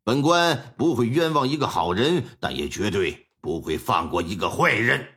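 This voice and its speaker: A man voicing a stern male county magistrate in a told story, speaking slowly and with authority. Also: fairly low pitch, big pitch swings, a bit bright, breathy edge, pitched below the performer's own voice, full character voice. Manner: slow, weighty, authoritative.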